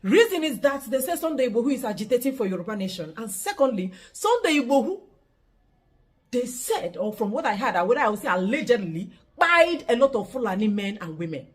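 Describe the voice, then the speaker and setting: A woman's voice: a little high, sing-song, a little fast. A woman with a Nigerian accent presenting news to her viewers in a preachy tone, slipping into Pidgin at times. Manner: preachy greeting, slips into Pidgin. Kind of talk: news-style address to viewers.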